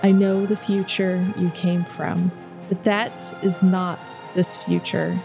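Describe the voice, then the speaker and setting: Cool, gentle female leader, read by a woman, unhurried. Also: fairly low pitch, little pitch movement, over music. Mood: calm. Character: calm authoritative woman leader.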